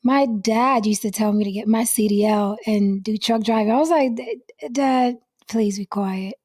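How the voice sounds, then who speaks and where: A woman hosting a casual live-stream chat, slightly hoarse from losing her voice, blunt and outspoken. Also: on the high side, normal speed, darkish tone. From New York. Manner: blunt, outspoken, swears freely. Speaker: host. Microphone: desk mic on a live stream.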